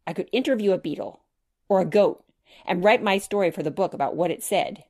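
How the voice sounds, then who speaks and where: A woman's voice: mid-range voice, a little fast, natural voice. A woman voicing an eager, chatty little girl full of questions in a children's storybook. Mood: enchanted. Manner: bright-eyed, exclamatory, inquisitive.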